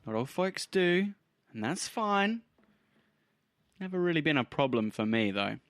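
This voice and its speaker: A man's voice: a little high, big pitch swings, a little slow, dry recording, pitched above the performer's own voice. A man voicing a fervent, drugged-up pirate radio professor in a cyberpunk role-play, speaking in rhetorical speeches over music. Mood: musing, defiant. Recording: music behind the voice.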